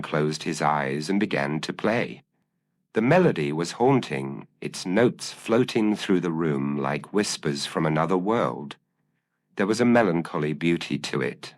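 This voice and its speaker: A man narrating a first-person detective audiobook in a measured, formal, literary manner. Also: low voice.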